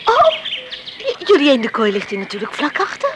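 A young woman in a radio drama, exasperated yet tender. Her voice is high and swings widely as she scolds and teases. The recording has clear noise with outdoor bird sounds. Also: huge pitch swings, a little fast. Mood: soft, hesitant. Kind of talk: Dutch radio drama acting.

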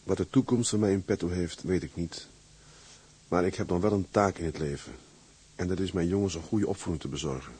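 An actor playing an earnest, weary sea captain in a radio drama, speaking in a sober, measured, plain way. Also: male voice, sits low, dark tone, breathy edge. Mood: grave, resigned. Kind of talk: Dutch radio drama acting.

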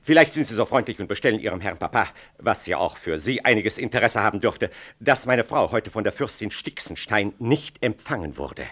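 A pompous middle-aged professor in a radio drama. His low voice rises and falls broadly. He sounds haughty, touchy and condescending. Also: big pitch swings, a little fast, fairly bright tone. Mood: stiff affront. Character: pompous, offended male professor, haughty colleague. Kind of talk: 1960s German-language radio play acting.

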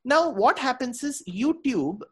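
A woman's voice: high-pitched, expressive, a little slow, slightly rough. A woman with a South Indian accent, a native Tamil speaker, giving earnest, respectful explanations as a lecturer being interviewed. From Bangalore, India. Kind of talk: interview answers, explanatory talk.

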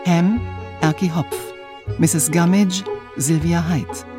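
A woman announcer reading scripted radio drama credits in a measured, formal way. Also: on the low side, steady tone. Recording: prominent violin music behind. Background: violin music.

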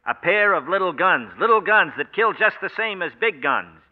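An actor playing a hard-boiled, wisecracking private detective in a 1940s radio noir, with sardonic, clipped tough talk. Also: male voice, high voice, lively intonation, normal speed. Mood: wry, cool. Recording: muffled 1940s radio broadcast recording.

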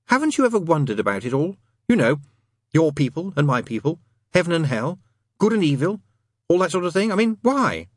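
A man's voice: a little high, sing-song, brisk, dry recording, studio quality, pitched above the performer's own voice. A man voicing a restless, sardonic male demon in an audiobook, insistent and full of rhetorical questions. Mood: agitated, probing. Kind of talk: dramatised audiobook reading.

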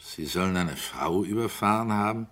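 A sober private detective from a sixties German radio drama. His voice is very low, and his questions are terse, probing and matter-of-fact. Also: expressive, normal speed, a bit bright. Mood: probing, sceptical. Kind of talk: 1960s German radio play acting.

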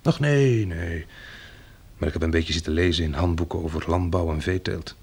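Bill, gravelly weary survivor. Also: male voice, sits low, normal speed, darkish tone. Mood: pensive. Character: Bill, weary practical male survivor turned farmer. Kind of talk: radio drama acting with diary narration.

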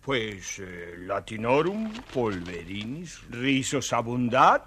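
A man's voice: medium pitch, very animated, slow, a bit bright, slight background noise. An actor playing a learned, servile male sage in a children's story record, muttering mock Latin with theatrical ceremony. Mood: ceremonious, learned.

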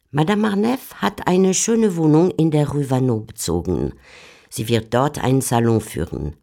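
Measured radio drama narrator, medium pitch. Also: warm tone.

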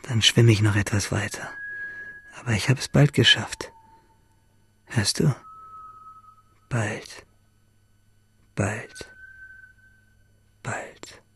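An actor playing a wistful, tender young shipwrecked seaman in a radio drama monologue, intimate and halting, with a husky voice. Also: on the low side, a bit bright, a little hiss or hum, full character voice. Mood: exhausted, desperate. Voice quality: husky, gravelly, breathy.

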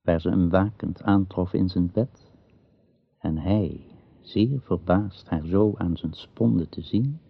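A man narrating a radio drama in a measured, solemn, archaic storytelling style with a gravelly voice. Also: low voice, dry recording. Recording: dull lo-fi old radio recording.

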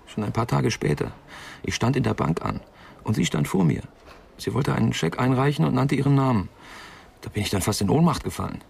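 An actor playing a troubled young man giving a halting, confessional account in a German radio crime drama, with a creaky voice. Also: medium pitch, expressive, a little mellow. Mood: subdued, confessional. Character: distressed young man, witness worried about his sick friend. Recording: faint background noise and ambience.

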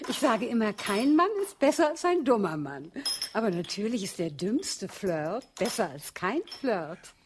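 An actress playing Miss Dodd, a composed, ironic English governess in a radio drama. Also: female voice, medium pitch, very animated, a little slow, noisy background. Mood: wry.

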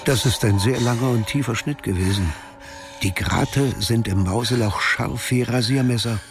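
A man's voice: medium pitch, normal speed, over music, natural voice. An actor playing a dry, sardonic male narrator in a radio drama. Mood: grave. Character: wry male narrator commenting on the heroine's plight.

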